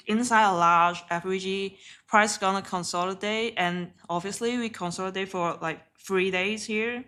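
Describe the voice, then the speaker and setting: Bright-voiced woman chatting through live trades. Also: medium pitch. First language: Cantonese. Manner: chatty and candid, swears offhandedly, often laughs. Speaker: live-streaming day trader. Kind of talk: casual live trading commentary.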